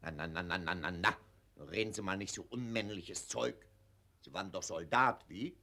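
A pompous old colonel. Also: male voice, deep voice, moderate pace, vocal fry, bright, forceful tone, full character voice. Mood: patronizing. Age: older. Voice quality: blustering, lecturing tone. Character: pompous older male colonel, patronizing. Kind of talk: 1940s radio play acting.

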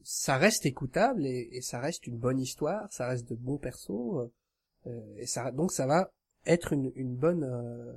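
A man's voice: mid-range voice, lively intonation, measured pace, slightly rough. A man in casual conversation as a podcast guest, speaking in an informal, slangy way. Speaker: podcast guest and audio drama creator. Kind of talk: casual chat.

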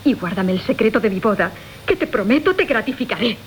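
A woman's voice: medium pitch, expressive, fairly quick, natural voice. A woman playing a scheming, wheedling coquette in a classical radio comedy. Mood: conspiratorial. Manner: theatrical, wheedling. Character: scheming, coquettish woman Bárbara, duping her lovers. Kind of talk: Spanish classical comic radio theatre acting. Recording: hissy 1980 radio broadcast.

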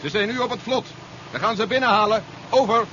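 A noisy, muffled old radio recording carries a man acting a domineering general. His voice sits high for a man and snaps out impatient, commanding lines in science fiction radio drama style. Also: a little fast, close to an everyday voice. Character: curt, domineering male general commanding a recovery operation.

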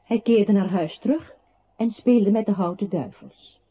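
An actress playing a solemn, quietly accusing narrator in a radio drama, with a husky voice and deliberate literary phrasing. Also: female voice, medium pitch. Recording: muffled phone-like old radio recording.

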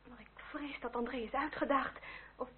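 A woman's voice: high-pitched, steady tone, moderate pace, a little hiss or hum, natural voice. An actress playing an earnest, tender young French noblewoman, polite and easily distressed, in a period radio drama. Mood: earnest, upset. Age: young adult. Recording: tinny old radio play recording.

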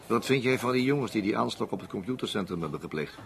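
A lowish voice for a sober man of few words in a radio play. He is probing and sceptical, with a terse, questioning delivery. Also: expressive, fast talker, vocal fry, slight background noise. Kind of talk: naturalistic Dutch radio play acting.

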